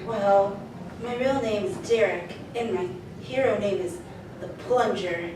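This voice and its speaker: A woman playing a sullen, deadpan young boy with a menacing streak in a superhero comedy. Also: medium pitch, measured pace, close to an everyday voice. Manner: deadpan, snide. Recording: echoey room, distant mic, clear noise.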